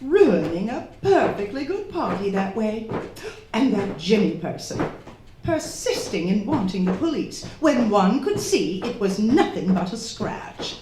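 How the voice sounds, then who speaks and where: Domineering wife, high wildly swinging voice, haughty, scolding, drawn-out, live radio play. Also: female voice, big pitch swings, noisy background. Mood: haughty indignation. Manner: imperious, indignant, scolding, drawn-out theatrical delivery. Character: domineering, theatrical society hostess and would-be spirit medium wife. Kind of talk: live radio drama acting. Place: stage of a live theatre performance. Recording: roomy echoing live stage recording.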